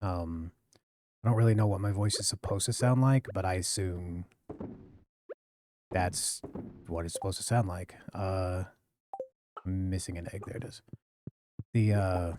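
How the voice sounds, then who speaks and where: A man streaming a farming game, chatting casually into a close boom-arm mic, rambling and apologetic, with a deep voice he keeps low. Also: fairly even intonation, measured pace, long pauses, mellow, a little hiss or hum. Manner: rambling, self-correcting, apologetic, talks low. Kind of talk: casual live-stream chat while gaming. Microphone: studio mic on a boom arm, close to the face.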